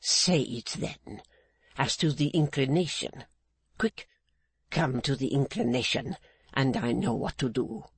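A man voicing a menacing, swaggering male blackmailer who talks in a slow, mocking, insolent way. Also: medium pitch, slightly rough, no echo. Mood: sneering.

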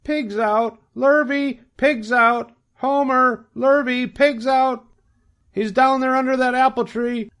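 A man's voice: very high voice, fairly even intonation, takes it slowly, fairly bright tone, clean tone, pitched above the performer's own voice, full character voice. A man voicing an alarmed farm wife with shrieking calls.

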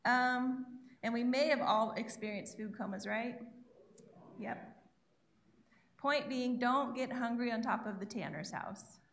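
A woman's voice: on the high side, unhurried, long pauses, fairly bright tone. A young woman giving a sermon from her notes on a church stage, chatty and self-deprecating, speaking into a handheld mic. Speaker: guest preacher. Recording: echoey church room.

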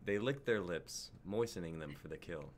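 A man's voice: sits low, animated, normal speed. A man in his twenties reading out stage directions as scripted narration on a video call. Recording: clear noise on the mic.

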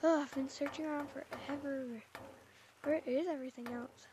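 A woman's voice: sits high, fairly even intonation, moderate pace, background noise. A woman playing a VR game, chatting playfully to herself with sighs as she roleplays a shopping trip. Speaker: VR game player. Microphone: VR headset mic.

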